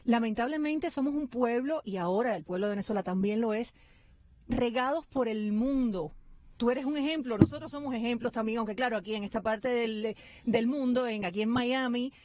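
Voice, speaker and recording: A woman's voice: a little high, moderate pace, a little mellow, no room sound. A woman from Cuba hosting a radio interview, chatting conversationally and reading out listener comments. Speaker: radio host.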